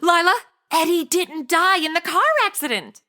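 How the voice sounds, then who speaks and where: An actress playing a breezy, tactless young podcaster with flippant modern slang in a comedy audio drama. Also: female voice, very high voice, moderate pace, background noise. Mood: blunt.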